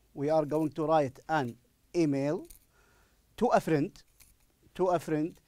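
Middle aged guy teaching english, arabic accent, kinda high mellow voice, repeats stuff, super dry sound. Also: no room sound. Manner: deliberate, repeats phrases for emphasis. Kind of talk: recorded classroom-style lesson. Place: indoor studio in front of a presentation screen. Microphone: lapel microphone.

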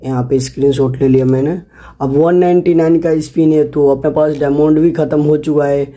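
Indian man with a very dark, mellow voice. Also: a little high, level tone, fairly quick. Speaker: gaming video host. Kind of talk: casual gameplay commentary. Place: voice-over on a screen recording.

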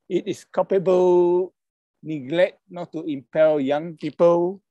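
A middle-aged man from Malaysia giving an online conference talk over a video call, halting and hesitant, with many fillers and apologies. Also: high-pitched, fairly even intonation, no echo, muffled recording. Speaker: conference speaker. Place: indoors at a computer.

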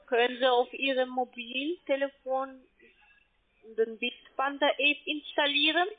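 A high-pitched woman with a foreign accent delivers a telephone sales pitch. She sounds like a call center agent, heard through a narrow, tinny phone connection. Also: steady tone, a little slow. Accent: foreign, non-native German.

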